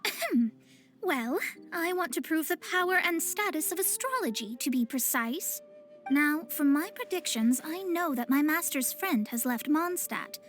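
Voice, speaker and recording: A woman voicing a proud young woman astrologer in a video game, speaking in a pompous, formal, precise way. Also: high-pitched. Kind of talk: scripted video game voice acting. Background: game music.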